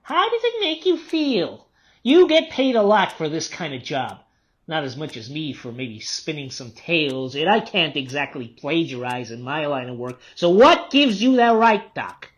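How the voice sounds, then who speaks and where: A woman's voice: low voice, big pitch swings, normal speed, dull audio. Woman playing an erratic, menacing male author. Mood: sarcastic, taunting. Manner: taunting, erratic, muttering to outbursts. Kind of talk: radio play acting.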